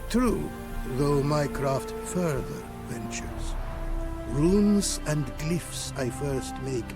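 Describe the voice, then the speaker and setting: An actor playing a courteous, proud scholar-artisan in a video game, speaking in formal, inverted, archaic phrasing. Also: male voice, fairly high pitch, expressive, over music, exaggerated voice. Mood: proud, explanatory. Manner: formal, inverted archaic syntax, deliberate emphasis. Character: courteous, proud foreign male rune craftsman, melancholy scholar. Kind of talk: video game cutscene acting.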